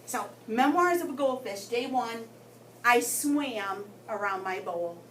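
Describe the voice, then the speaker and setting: A woman reading a story aloud to children in an expressive way, with dramatic pauses and emphasis. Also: a little high. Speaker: guest story reader for children. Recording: roomy, distant mic with background noise.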